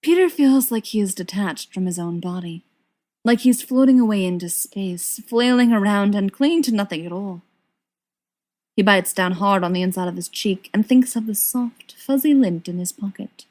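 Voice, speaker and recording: A woman's voice: mid-range voice, normal speed, darkish tone. A woman reading a scripted fiction story aloud as an audiobook narrator. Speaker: audiobook reader.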